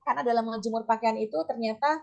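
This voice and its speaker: A middle-aged woman with a slightly hoarse voice, giving an informal teachers' workshop talk in a chatty, step-by-step way sprinkled with English terms. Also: on the high side, fairly even intonation, fast, muffled recording. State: slightly hoarse, voice not back to normal. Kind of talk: informal training talk with personal examples.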